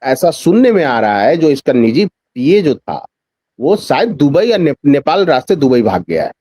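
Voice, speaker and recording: A man's voice: a little high, big pitch swings, moderate pace, dark tone, no room sound, clean studio sound. A man speaking as a journalist guest in a news discussion, giving emphatic political commentary with repeated phrases and rhetorical questions.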